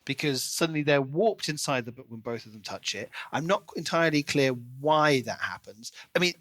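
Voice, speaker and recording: A man's voice: fairly high pitch, expressive, fairly quick, fairly bright tone, dry recording. A man co-hosting a podcast, talking casually and analytically through a book as he thinks aloud. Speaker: podcast co-host.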